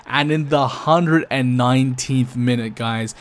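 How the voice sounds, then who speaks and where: A tired young man with a slightly croaky voice giving casual, chatty commentary over gameplay footage, talking to his viewers with plenty of ums. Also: mid-range voice, unhurried. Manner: chatty, addresses viewers as guys, many ums. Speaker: gaming YouTuber.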